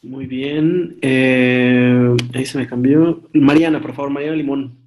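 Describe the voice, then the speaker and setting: A man leading an online workshop on a video call, briskly calling on each participant in turn. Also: medium pitch, steady tone, darkish tone, slight background noise, rough recording. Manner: brisk, calls on participants one by one. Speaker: workshop instructor.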